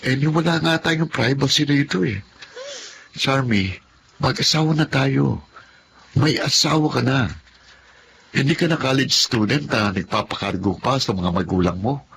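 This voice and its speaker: An actor playing a frustrated, blunt husband of thirty in a Filipino radio drama. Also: male voice, medium pitch, measured pace, vocal fry, pauses often, background noise. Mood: exasperated, scolding. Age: 30s.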